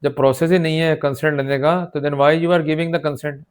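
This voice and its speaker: A man with an Indian accent and a slightly high voice, speaking as a lecturer. He explains things step by step in an instructive, emphatic way, often posing short questions to the students and mixing in English phrases. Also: steady tone, moderate pace, clear, resonant tone. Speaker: law lecturer.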